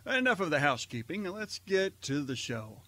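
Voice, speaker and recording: A man's voice: a little high, very animated, normal speed, sharp tone. A man hosting an online radio theater show, giving a scripted introduction. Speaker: host and announcer of an amateur online radio theater.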